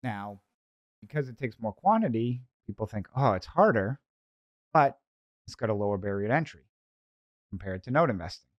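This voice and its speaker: Low-voiced Boston man, dry podcast sound. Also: expressive, moderate pace, pauses often, no echo, lo-fi sound. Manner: rhetorical self-questioning, conversational asides. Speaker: podcast host, investor. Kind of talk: solo podcast commentary, informal.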